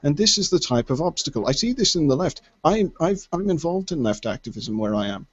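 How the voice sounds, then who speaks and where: An Irishman with a posh-sounding accent, speaking in a measured, hesitant way and stammering when pressed. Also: a little high, very animated. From Ireland. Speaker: debate participant, left activist. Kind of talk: online voice-chat debate. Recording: dull lo-fi voice chat audio.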